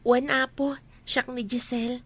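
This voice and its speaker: A woman's voice: fairly high pitch, level tone, measured pace, a bit bright, dry recording, faint music behind. A young woman playing a meek, polite country housemaid in an Ilocano-accented radio drama. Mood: meek, deferential.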